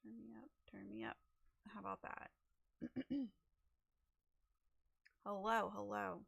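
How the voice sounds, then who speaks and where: A woman chatting casually as she sets up a livestream, a little raspy and losing her voice, rambling with many fillers into a desk microphone. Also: medium pitch, sing-song, slow pace, fairly bright tone. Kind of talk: casual chat while setting up a stream.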